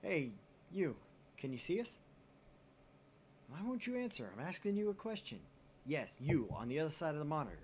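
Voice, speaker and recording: A man's voice: on the high side, sing-song, moderate pace, long pauses, slight background noise. A man voicing a grandiose, paranoid mad scientist from a visual novel, theatrical and pompous. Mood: demanding. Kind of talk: visual novel dialogue read aloud.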